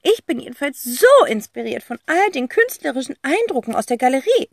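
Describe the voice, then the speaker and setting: A woman voicing an eccentric, exuberant artist aunt who talks in a high, theatrical, gushing way, in an audiobook. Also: big pitch swings, a little fast, no echo. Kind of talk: audiobook character voice.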